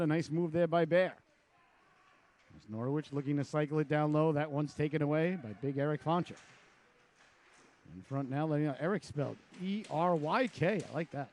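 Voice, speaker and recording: A man with a raspy voice from a cold, calling live hockey play-by-play with chatty asides. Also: fairly high pitch, a little mellow. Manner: chatty asides between rapid calls. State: hoarse from a cold. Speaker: play-by-play announcer.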